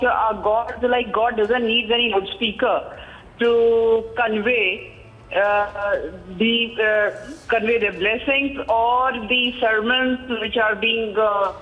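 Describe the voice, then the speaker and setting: Echoing, muffled Indian woman giving opinion. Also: a little high. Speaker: political party spokesperson, news guest. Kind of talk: giving opinion in a live news interview. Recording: dull, echoing remote connection.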